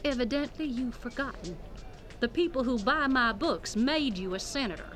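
A woman's voice: sits high, lively intonation, normal speed, a bit bright. An actress playing a composed, condescending woman author in an audio drama, with an arch manner. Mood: scornful. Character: haughty, unflappable woman author of religious books.

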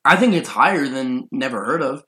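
A man's voice: medium pitch, expressive, normal speed, fairly bright tone. Man chatting casually in an echoey room. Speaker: podcast host. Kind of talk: casual chat. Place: room in an apartment. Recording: roomy, echoey sound.

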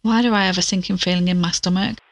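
A woman's voice: on the low side, fairly even intonation, quick pace. An actress playing a guarded, uneasy woman troubled by bad dreams in an audio drama, reticent and questioning.

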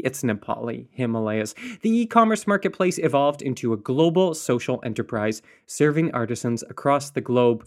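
Man, podcast host, teasing and playful, mid-range voice. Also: medium pitch. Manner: playful, teasing, theatrical asides. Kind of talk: podcast intro and casual interview.

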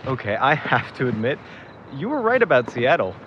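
Loud vehicle noise sits behind a slightly muffled voice. An actor plays a teasing young man in an audio drama, with lively swings of pitch and a wry, bantering delivery. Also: moderate pace, close to an everyday voice. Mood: relaxed, candid. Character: teasing, bantering young musician on a road trip. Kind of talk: naturalistic audio drama acting.

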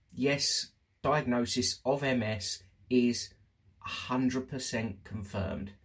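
A man talking candidly and casually as host of a personal diary show, chatty but halting, pausing for emphasis. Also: medium pitch, breathy edge. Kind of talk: casual spoken diary update.

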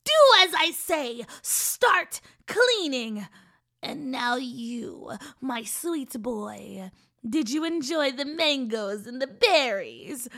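A woman's voice: sits high, animated, unhurried, few pauses, a bit bright. A woman voicing a cruel, greedy old woman who bosses children around and gloats over fattening them up. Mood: gloating. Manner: bossy, sneering, gloating.

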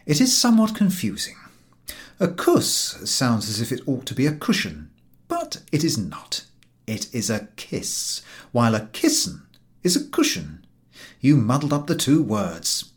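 A man voicing a dry, gently mocking English gentleman in an audiobook, explaining in a measured deadpan. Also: medium pitch. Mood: dry amusement. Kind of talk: audiobook character dialogue.

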